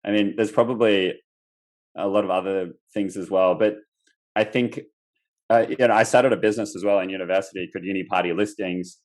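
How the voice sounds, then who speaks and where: A young man from Australia, a guest on an interview podcast, telling his story casually with lots of fillers and restarts. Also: low voice. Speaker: guest entrepreneur.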